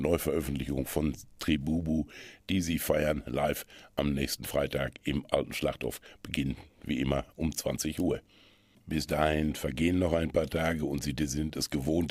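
A man hosting a radio culture programme, presenting event listings in a measured, explanatory way. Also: extremely low pitch, a little mellow, husky, no room sound.

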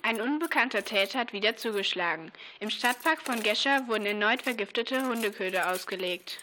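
A woman's voice: a little high, expressive, brisk, sharp tone, a little hiss or hum. A female performer playing an earnest young radio news intern reading a script in a German radio play. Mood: serious.

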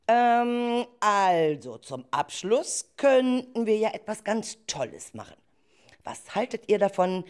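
A woman's voice: deep voice, darkish tone, full character voice. A woman playing an enthusiastic leader of a group of schoolchildren in an amateur radio play, talking to them in simple, encouraging phrases.

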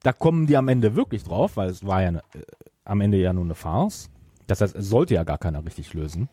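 A man talks casually as a podcast co-host, explaining and correcting. His voice is lowish, mellow and a bit husky. It is recorded dry. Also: expressive, a little fast, dry recording. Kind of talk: casual chat, discussing an audio drama.

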